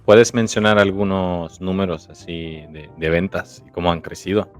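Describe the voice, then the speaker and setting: A man's voice: sits low, fairly even intonation, moderate pace, soft background music. A man hosting a podcast, asking a guest short, curious interview questions. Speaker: podcast host.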